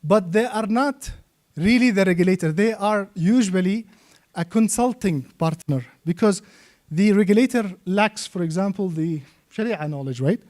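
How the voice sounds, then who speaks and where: High-pitched mellow man teaching a seminar. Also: normal speed. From Yemen. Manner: checks understanding with frequent "okay?" and "right?". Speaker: trainer and Islamic finance expert.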